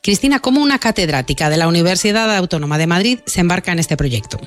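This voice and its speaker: A man's voice: high-pitched, animated, fast, a bit bright, soft background music. A man with an accent from Spain, hosting a radio programme and delivering scripted introductions and interview questions.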